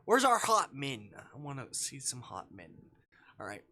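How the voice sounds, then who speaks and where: A man's voice: medium pitch, huge pitch swings, unhurried, pushed and bright. A man livestreaming a visual novel, reading the text aloud in a casual style with playful, muttered asides. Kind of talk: casual gaming stream, reading game text aloud with offhand commentary.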